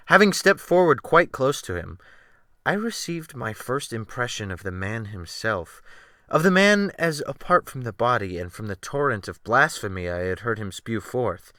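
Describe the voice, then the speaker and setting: A man narrating a classic novel as a dramatic audiobook, with deliberate, expressive phrasing. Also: mid-range voice, no echo, studio quality. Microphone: close USB desktop microphone.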